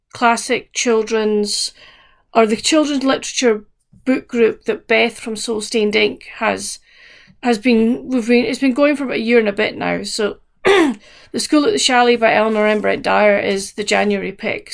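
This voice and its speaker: A woman chatting casually to camera as a book vlogger, her voice croaky, in a meandering, soft-spoken way. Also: medium pitch.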